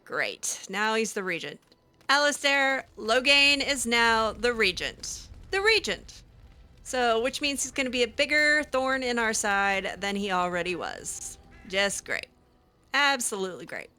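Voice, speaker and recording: Bright-voiced hesitant young woman. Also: fairly high pitch, big pitch swings, measured pace. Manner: hesitant, lots of fillers, laughs easily. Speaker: let's-play roleplayer. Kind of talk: casual in-character game roleplay.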